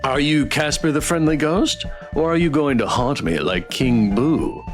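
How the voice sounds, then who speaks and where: A man's voice: on the high side, measured pace, soft background music, natural voice. A man voicing a flamboyant, teasing monster show host while reading a visual novel aloud. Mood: showy, teasing. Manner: showy, teasing presenter delivery.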